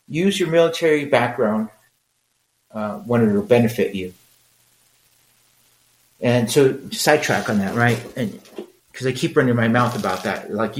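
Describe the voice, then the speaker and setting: A man's voice: medium pitch, reverberant, rough recording. A middle-aged man with a Mexican accent, talking frankly and conversationally in a solo podcast, with rambling asides. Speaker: podcast host.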